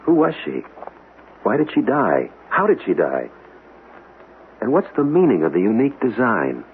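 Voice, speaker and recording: Earnest, animated antiques enthusiast. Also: male voice, medium pitch, moderate pace. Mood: intrigued, wistful. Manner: earnest, polite, hesitant. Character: intrigued, earnest, courteous male antiques enthusiast. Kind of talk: old-fashioned radio drama acting. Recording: tinny noisy old radio broadcast.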